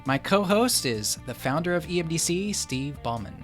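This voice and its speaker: A man's voice: fairly high pitch, lively intonation, normal speed, soft background music. A man hosting a podcast, moving between prepared introduction and casual conversation with guests. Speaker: podcast host.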